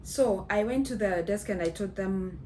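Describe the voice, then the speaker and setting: A woman's voice: mid-range voice, dark tone, a little hiss or hum, rough recording. A woman in her thirties from Kenya with a Kenyan accent, telling a story to the camera in a chatty way full of fillers. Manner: slow, chatty, many fillers, mixes in Swahili phrases. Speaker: vlogger. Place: small indoor room at home.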